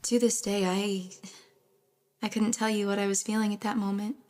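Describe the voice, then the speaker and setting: A woman's voice: medium pitch, little pitch movement, normal speed, darkish tone, close to an everyday voice. A young woman acting as a wistful, regretful, hesitant young woman in an audio drama narrated in the first person. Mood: reflective, uneasy. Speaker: lead actress and narrator.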